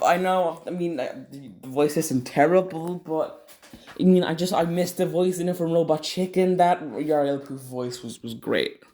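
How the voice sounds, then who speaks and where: A man hosting a casual ranking commentary in a hesitant, rambling, opinionated way. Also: a little high. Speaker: video host ranking game voice actors.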